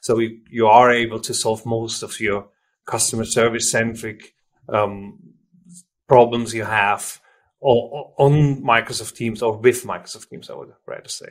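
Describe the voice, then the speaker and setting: A man from Switzerland, a native German speaker with a Swiss German accent, explaining things informally and haltingly as an interview guest, often rephrasing himself. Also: medium pitch, fairly even intonation. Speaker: software company representative, interview guest. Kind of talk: conversational product interview.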